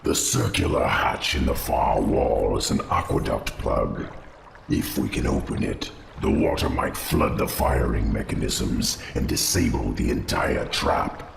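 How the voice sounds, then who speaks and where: A man voicing an ancient undead spider lord in a video game, gravelly, grave and portentous in delivery. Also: a bass voice, lively intonation, measured pace, heavily acted. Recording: echoing, with loud game noise.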